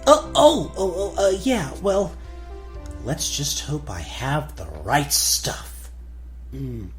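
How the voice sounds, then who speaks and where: A man voicing a cowardly, blustering young prince who stammers excitably in a cartoon parody. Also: a little high, slow pace, soft background music, exaggerated voice. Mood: flustered. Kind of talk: cartoon gag-dub parody.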